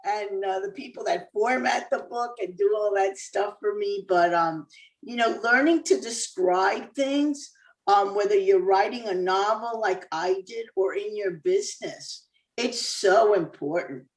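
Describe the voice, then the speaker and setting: A woman's voice: mid-range voice, a little slow, echoey room, far from the mic, low-quality recording. A woman from Cuba, an author and former dentist, talking casually as an interview guest with easy laughs and many fillers.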